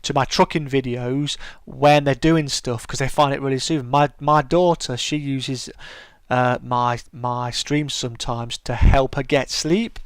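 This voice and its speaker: A man's voice: mid-range voice, steady tone, unhurried, no room sound. A middle-aged man with a soothing voice chats casually with his live-stream viewers, friendly and full of restarts. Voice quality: smooth, soothing voice. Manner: chatty and friendly, with many restarts and stammers. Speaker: streamer hosting a live chat. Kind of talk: casual live-stream chat, reading and answering comments.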